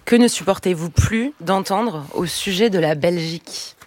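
A woman's voice: fairly low pitch, unhurried, a little mellow. A woman hosting a panel interview, reading out prepared questions with a playful touch.

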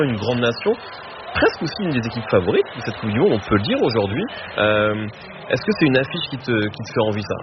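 Guy hosting a match show, chatty, lots of euh and restarts, lively pitch, bit muffled and noisy. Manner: lively, many fillers and restarts. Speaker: host of a live match show. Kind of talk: casual live football talk. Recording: muffled stream with background noise.

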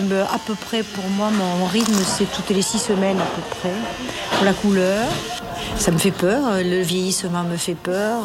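A woman in her sixties with a southern French accent, a salon client answering an interviewer in a reflective, halting way. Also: fairly low pitch. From southern France. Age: 60s. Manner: reflective, halting, with small restarts. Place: hair salon.

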